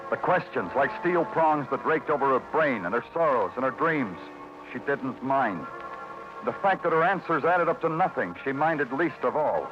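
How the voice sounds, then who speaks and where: An American actor playing a weary, hard-boiled New York police detective in a terse, brooding, sardonic 1940s radio style. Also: male voice, mid-range voice, natural voice. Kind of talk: 1940s radio crime acting, dramatic narration. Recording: dull vintage radio recording, faint music.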